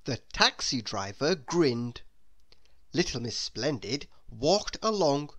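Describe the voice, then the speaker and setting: A woman's voice: extremely low pitch, sing-song, slow, fairly bright tone. A woman reading a children's storybook aloud, expressively and as if speaking straight to young listeners.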